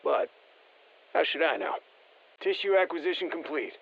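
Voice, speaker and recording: A seasoned special-forces operator from a video game, giving mission guidance in terse, matter-of-fact phrases. He sounds steady and encouraging, with a slightly dull edge to the audio. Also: a little high, moderate pace, gravelly, husky, exaggerated voice. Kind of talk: scripted video game voice lines.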